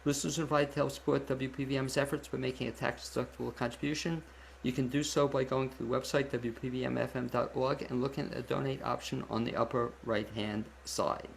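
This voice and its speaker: A man hosting a radio interview show, speaking conversationally and a little haltingly between questions and announcements. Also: mid-range voice, steady tone, creaky, slight background noise, low-quality recording.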